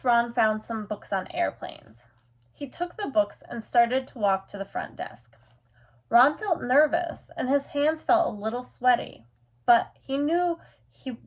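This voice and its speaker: A woman reading a children's picture book aloud as a storyteller, in an expressive, deliberate way. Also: a little high.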